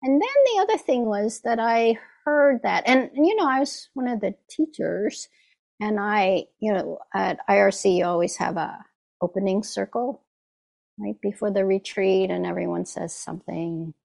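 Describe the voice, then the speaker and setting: Woman with a gravelly voice giving a talk. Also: mid-range voice, normal speed, darkish tone. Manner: reflective, often asks "right?". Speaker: meditation teacher. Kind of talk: dharma talk.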